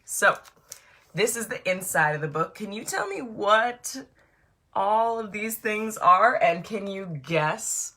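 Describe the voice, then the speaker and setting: A woman leads a children's story time with a bright, forceful voice, pitched slightly low. She is animated and encouraging as she speaks straight to young listeners. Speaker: author reading a storybook to children.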